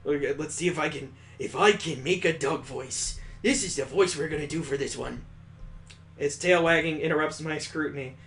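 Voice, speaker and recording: A man's voice: a little high, normal speed, a bit bright, faint music behind. A man reading a visual novel aloud as a let's play host, adding sarcastic, playful asides.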